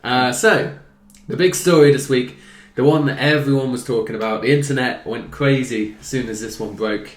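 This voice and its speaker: A man's voice: mid-range voice, normal speed, few pauses. Hungover young man hosting, echoey room. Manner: chatty, jokey, teasing. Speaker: host. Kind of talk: casual gaming news chat. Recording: roomy echo.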